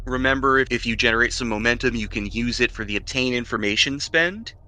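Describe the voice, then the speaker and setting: Bright-voiced man running a tabletop game. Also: medium pitch, brisk. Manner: explanatory, conversational. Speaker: game master. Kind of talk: improvised narration of a tabletop game.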